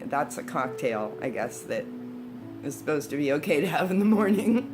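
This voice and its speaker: A woman in her sixties telling personal stories in a casual, conversational, unhurried way, like a storyteller reminiscing. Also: sits low, sing-song. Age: 60s. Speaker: storyteller, video host. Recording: background guitar music. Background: instrumental guitar music.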